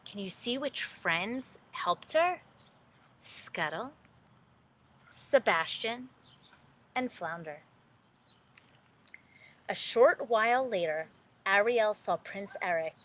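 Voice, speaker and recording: A woman narrating a children's bedtime story expressively, with dramatic, drawn-out emphasis. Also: mid-range voice, lively intonation, fairly bright tone.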